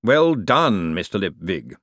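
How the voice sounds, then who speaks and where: A man's voice: sits low, very animated, fairly bright tone, no room sound, clean studio sound, full character voice. A man doing a silky, icily patient tyrant. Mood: coolly polite. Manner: slow, measured, icily polite. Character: cold, silkily menacing male ruler, patient tyrant.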